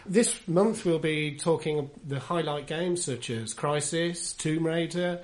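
A British man hosts a jokey gaming podcast. His voice sits a little high for a man, and he speaks in a wordy, mock-formal style with his tongue in his cheek. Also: slow pace. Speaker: podcast host. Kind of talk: jokey scripted gaming podcast, reading letters.

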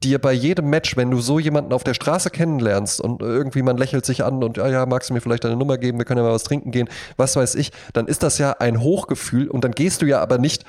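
A man's voice: medium pitch, fast talker, few pauses, a little mellow. A man chatting casually as a podcast co-host, joking and acting out little quotes as he explains things. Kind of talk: casual conversational chat.

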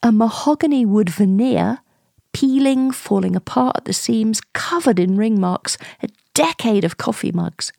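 A woman in her forties narrating a first-person radio play in short, hushed, confiding phrases with a breathy voice. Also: fairly high pitch, lively intonation, measured pace, no room sound, studio quality. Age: 40s. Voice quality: breathy, husky. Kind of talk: intimate first-person dramatic monologue, present tense.

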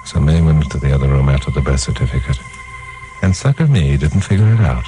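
A hardboiled radio detective with a very low voice. He tells his story in wry, slangy lines and mocks himself as he goes. It is a 1950s radio crime drama with music. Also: lively intonation, quick pace, poor audio. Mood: wry narration. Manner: wry, slangy, self-mocking. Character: hardboiled wisecracking radio detective hero, narrating his own case.

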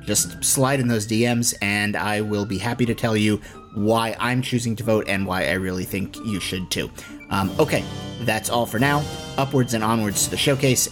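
A man's voice: on the low side, a bit bright. A man hosting a podcast, reading sponsor copy and announcements in a casual, direct way. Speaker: podcast host. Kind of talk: casual scripted ad read and announcement.

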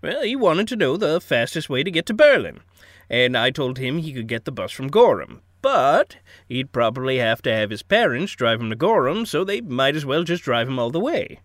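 A man's voice: medium pitch, very animated, moderate pace, a bit bright, dry recording, studio quality. A man playing a genial, evasive older small-town mayor in an audio drama, folksy and avuncular, hemming and hedging as he talks. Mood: guarded, confiding.

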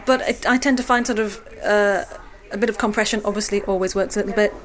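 A woman voice artist with a light, clear voice, answering interview questions thoughtfully and a little hesitantly. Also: medium pitch, fairly even intonation, quick pace.

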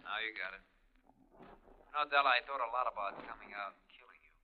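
An actor playing a tough, streetwise, insistent ex-convict in a 1950s radio crime drama. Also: male voice, on the low side, a little fast, close to an everyday voice. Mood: sly, pressing. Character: tough, wary male ex-convict with a scheme. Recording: muffled, noisy old radio recording.

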